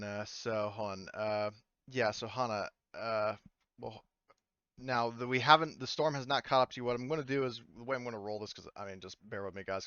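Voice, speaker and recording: A man's voice: medium pitch, sharp tone, clean tone, no room sound, dull audio. A man game master improvising his narration of an online tabletop game, hesitantly thinking aloud and swearing casually.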